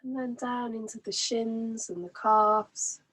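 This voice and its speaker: A woman leading a guided relaxation as a meditation teacher, soothing and gently instructing in an unhurried way. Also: on the high side, steady tone, husky, poor audio.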